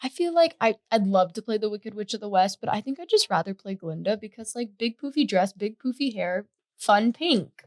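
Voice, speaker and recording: A teenage girl co-hosting a podcast, chatting casually and reacting quickly to her partner. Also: mid-range voice, lively intonation, dry recording. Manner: quick reactions, chiming in with agreement and disagreement. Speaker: podcast co-host. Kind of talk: casual chat.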